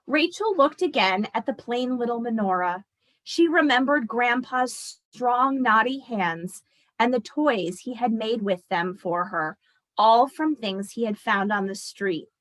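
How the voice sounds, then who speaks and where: Female children's read-aloud narrator, fairly high voice, very measured pace, expressive with explanatory asides. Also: low-quality recording. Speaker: storyteller reading a picture book aloud to an audience.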